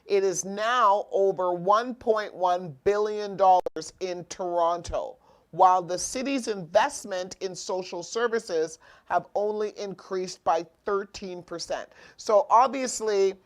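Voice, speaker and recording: A woman's voice: medium pitch, slow. A woman hosting a talk show, reading budget figures aloud and stumbling over and correcting her words.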